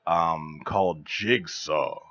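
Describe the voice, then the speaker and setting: A hoarse man with bronchitis, joking around as co-host of a live radio show from a studio. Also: deep voice, slow pace, a bit bright, muffled recording. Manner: joking, playful wordplay. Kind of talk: casual radio banter.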